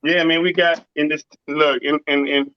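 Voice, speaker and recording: A man with a rough, raspy voice, a singer and producer talking casually in an interview and often saying "you know what I'm saying". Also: a little high, level tone, normal speed, no room sound, lo-fi sound. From Southern US.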